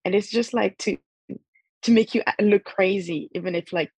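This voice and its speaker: A woman's voice: medium pitch, moderate pace, a little mellow, husky, dry recording. A young woman talking as a student panelist in a casual discussion, hesitant and earnest, with lots of fillers.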